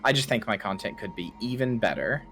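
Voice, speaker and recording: Animated, earnest woman co-streamer. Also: very deep voice. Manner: earnest, quick and animated, teasing. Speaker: guest co-streamer. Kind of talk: casual stream chat.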